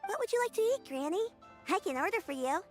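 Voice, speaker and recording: Very high-voiced earnest young child. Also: female voice, big pitch swings, fast talker, darkish tone, soft background music. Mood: attentive. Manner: earnest, polite. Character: kind, plucky young child caring for grandmother. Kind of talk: video game voice acting.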